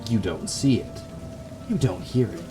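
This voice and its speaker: A man's voice: lowish voice, animated, unhurried. A man hosting a gaming video, moving between casual chat and dramatic reading of the game's text. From Canada. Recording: background music under the voice.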